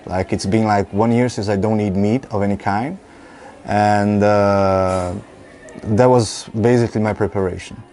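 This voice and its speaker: A man in his thirties from Brazil speaks with a lowish, dark and mellow voice. He talks haltingly, repeats words and fills gaps with "like". Faint background ambience sits under him. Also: steady tone, slight background noise. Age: 30s. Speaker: retreat participant. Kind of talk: documentary testimony.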